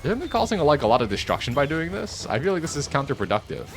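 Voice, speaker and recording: A man's voice: on the high side, sing-song, fast talker. A man doing casual live game commentary, rambling and muttering to himself as he plays. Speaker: game streamer. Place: home office. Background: game music.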